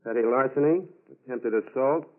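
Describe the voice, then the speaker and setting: A thin, tinny vintage radio recording carries an actor as a male FBI agent. He sounds mid-pitched, measured and confident, laying out facts methodically in 1940s radio acting style. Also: natural voice. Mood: matter-of-fact. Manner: matter-of-fact, measured.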